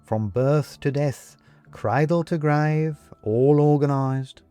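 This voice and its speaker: A man voicing a jovial, persuasive ghost gentleman in an audiobook, with a British accent and clipped, chummy, old-fashioned upper-class phrasing. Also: a little high, takes it slowly, clear, resonant tone, faint music behind, voice pushed up. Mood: proud, explaining. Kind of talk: audiobook character acting.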